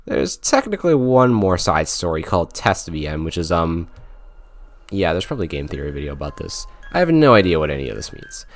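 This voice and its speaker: A man's voice: sits low, sing-song, fairly quick. A man narrating a scripted video game review in a wry, sarcastic way.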